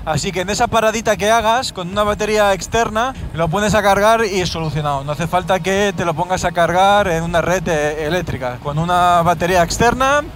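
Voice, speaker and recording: A man's voice: high-pitched, a little fast, few pauses, a bit bright, a little hiss or hum. A man with an accent from Spain giving a casual, explanatory product review as a motorcycle vlogger, checking in with his viewers as he goes. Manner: colloquial, explanatory, addressing viewers with check-in tags. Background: background music.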